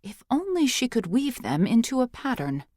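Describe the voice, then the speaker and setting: A woman reading scripted fiction as an audiobook narrator, with measured, expressive storytelling. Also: fairly high pitch, no echo, studio quality.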